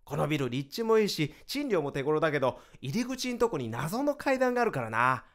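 Radio drama, exuberant, chatty male startup co-founder; high bright voice, friendly casual banter. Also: sing-song, fairly quick. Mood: excited, cheerful. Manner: exuberant, chatty, swinging from cheers to concern. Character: excitable, upbeat male vice president of a new startup.

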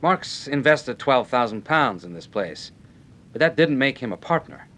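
A man's voice: very animated, normal speed, fairly bright tone. Brash, swooping nightclub tough guy. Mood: irritated. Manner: slangy, blunt. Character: brash, tough-talking male nightclub owner. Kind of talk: old radio detective serial acting. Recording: vintage radio recording with rumbling background noise.

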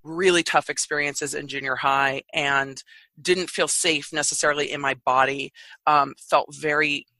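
A woman in her thirties with a low voice, telling her story openly and frankly as a podcast guest. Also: unhurried, no echo. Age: 30s. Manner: open, frank, lively. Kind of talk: candid conversational storytelling.

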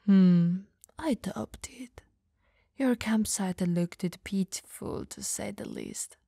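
A woman playing a teasing, dominant but caring vampire in an ASMR audio roleplay, breathy and gravelly, often dropping to whispers, coaxing and playfully condescending. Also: mid-range voice, slow pace, warm tone, dry recording, clean studio sound, heavily acted. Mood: wry, defensive.

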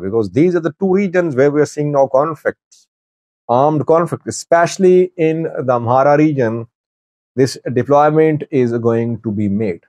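Slow, halting male news commentator. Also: medium pitch, warm tone, no room sound. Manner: halting, deliberate delivery with pauses mid-sentence. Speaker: news commentator, channel host. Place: small wood-panelled office, indoors.

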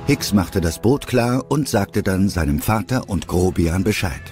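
A man's voice: sits low, expressive, a little slow, music in the background. A man narrating a scripted adventure story as an audio drama.

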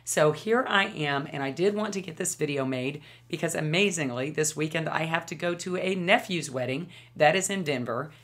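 A woman in her fifties with a low, hoarse voice from a lingering cold. She talks to the camera in a chatty, enthusiastic way, gesturing with her hands while she shows products in her home studio. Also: moderate pace, few pauses, a bit bright. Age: 50s. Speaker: beauty vlogger. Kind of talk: casual product review to camera.

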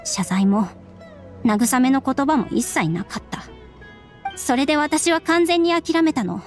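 An actress voicing a subdued young woman in a Japanese game, speaking in a measured, wistful and serious way. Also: high-pitched, expressive, soft background music. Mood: resigned, sad. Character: subdued, resigned young woman, estranged daughter of strict scholar. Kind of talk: Japanese anime game voice acting.

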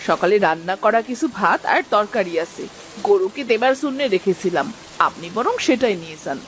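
A woman playing a curt, grudging neighbour in a Bengali cartoon moral story, scolding and sharp-tongued. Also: mid-range voice, lively intonation, brisk, a little hiss or hum, lightly acted, poor audio. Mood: scornful.

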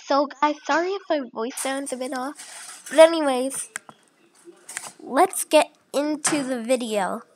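Young sick-sounding woman, slow and chatty. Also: sits high, a little hiss or hum, low-quality recording. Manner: chatty, rambling with fillers. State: sick, voice a bit off. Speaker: channel host. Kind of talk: casual screen-recording walkthrough.

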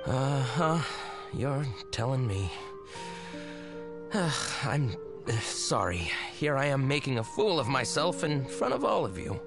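A sorry drunk husband in a game, slow and hesitant, music behind. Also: male voice, medium pitch, very animated. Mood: sheepish. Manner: hesitant, sighing, sheepish. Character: hapless drunken husband, apologetic and sentimental. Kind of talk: video game voice acting. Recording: game dialogue with background music.

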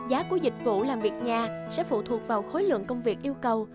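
Casting: woman as polite, businesslike cartoon receptionist, high pitch, formal courtesy, background music. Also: animated, brisk, lightly acted. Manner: courteous, formal customer-service delivery. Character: polite, brisk female agency receptionist. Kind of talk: animated moral-tale voice acting.

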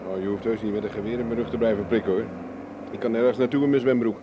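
An actor playing a wry, cornered private agent in a radio drama, with a dry, sardonic manner. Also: male voice, lowish voice, steady tone, racing, highs cut off, very noisy, lightly acted.

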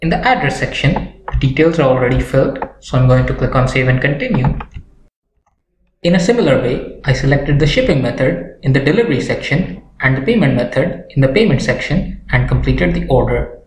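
A young man reads a scripted software walkthrough at a middling pitch. The recording is roomy and echoey, with faint noise and some clicks behind him. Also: lively intonation, brisk. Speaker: software tutorial presenter. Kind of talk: scripted tutorial narration.

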